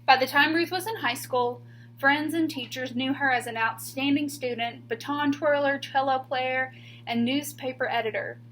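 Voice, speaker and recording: A woman teacher reading a children's picture book aloud expressively, with deliberate, emphatic pauses on key words. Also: sits high, a bit bright, poor audio.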